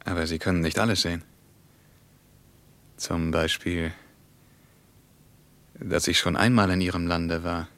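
An actor in a radio play, playing a middle-aged German writer and former soldier, sober, wary and matter-of-fact. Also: male voice, low voice, flat delivery, measured pace, long pauses, a bit bright. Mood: reserved.

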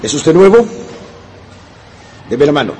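An actor playing a formal, firm schoolteacher in a radio drama, instructing with authority. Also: male voice, on the high side, very animated, a little slow, music in the background. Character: formal, firm male schoolteacher addressing a young pupil.

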